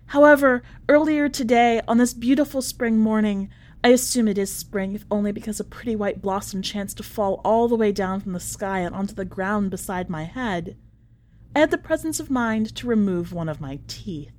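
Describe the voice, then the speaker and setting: A woman voicing a polite, chatty, quietly unhinged prisoner writing a letter, in a prim manner, as a dramatic story reading. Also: mid-range voice, normal speed, slight background noise, lightly acted. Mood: genteel, chatty. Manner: prim, ornate, politely understated phrasing.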